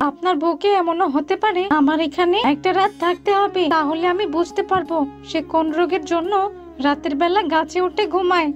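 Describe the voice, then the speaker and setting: A woman playing an insistent, explaining cartoon doctor who reassures, on a dull lo-fi recording. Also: very high-pitched. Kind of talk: Bengali cartoon voice acting.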